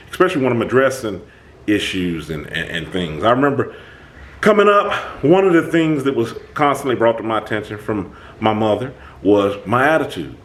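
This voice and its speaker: Host, male, booming voice, very animated intonation, talks to camera in an emphatic, reflective style. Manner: animated, emphatic, many restarts. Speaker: channel host. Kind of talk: reflective self-talk monologue.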